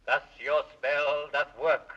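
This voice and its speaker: Slow, solemn magic mirror. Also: male voice, a little high, little pitch movement, bright, forceful tone. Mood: solemn, ominous. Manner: solemn chanted rhyming verse. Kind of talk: 1930s children's radio serial acting. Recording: muffled 1930s radio recording.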